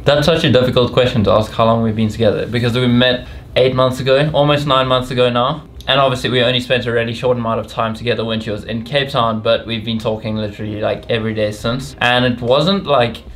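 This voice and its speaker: A young man talks through viewer questions in a loose, rambling way, laughing now and then. His voice sits at an ordinary middle pitch. A faint noise hums under the recording. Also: fast. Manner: relaxed, rambling, laughs often. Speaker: vlogger. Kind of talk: casual couple Q&A.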